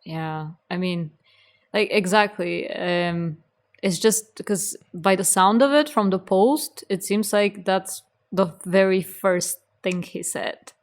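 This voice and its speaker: A woman from Bulgaria, co-hosting a podcast in relaxed, chatty talk with soft agreeing murmurs. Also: medium pitch, takes it slowly. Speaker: podcast co-host. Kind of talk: casual chat.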